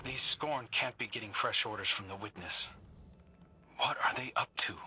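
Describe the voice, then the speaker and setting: An actor playing a defiant, irritated fighter in a video game, talking in a curt, dry way. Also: male voice, fairly low pitch, moderate pace, heavy background noise, natural voice. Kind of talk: scripted video game dialogue.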